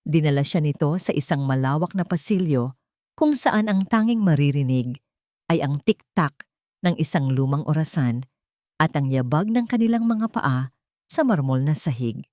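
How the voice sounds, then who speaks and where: A Filipino-accented woman tells a scripted romantic drama as the storyteller. Her voice sits very low for a woman, and the recording is close and dry. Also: expressive, moderate pace, mellow, no room sound.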